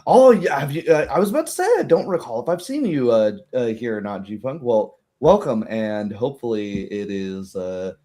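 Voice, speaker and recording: A man's voice: medium pitch, sing-song, moderate pace, hardly any pauses, warm tone. A man hosting a streamed tabletop roleplaying game as game master, chatting casually in a rambling, hesitant, good-humored way and laughing easily. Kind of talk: casual livestream chat.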